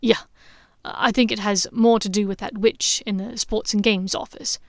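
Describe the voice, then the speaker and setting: A woman voicing a playful, affectionate young man who teases in a low, intimate tone. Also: fairly even intonation, moderate pace, creaky, no echo, studio quality, close to an everyday voice. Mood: teasing. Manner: teasing, low and intimate, sometimes half-whispered.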